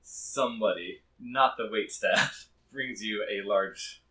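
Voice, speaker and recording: A man's voice: fairly low pitch, slow pace, fairly bright tone. A man acting as game master, narrating a tabletop game and joking with the players. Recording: roomy, slightly distant mic.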